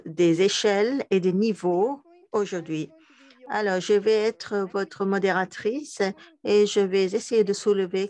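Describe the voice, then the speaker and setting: A woman with an Argentine Spanish accent, a native Spanish speaker, chairs an online conference session. She speaks very slowly and deliberately, stopping often to find her words. Her voice sits at a middle pitch for a woman. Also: darkish tone. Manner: deliberate, hesitant delivery with frequent pauses. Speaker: conference moderator.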